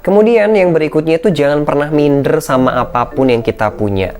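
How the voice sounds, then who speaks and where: A man with a thin, shrill voice, giving an informal, encouraging educational talk as a content creator, slipping in English phrases. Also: a little fast, a little mellow, faint music behind. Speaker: content creator and public speaking coach. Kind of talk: casual motivational educational vlog talk.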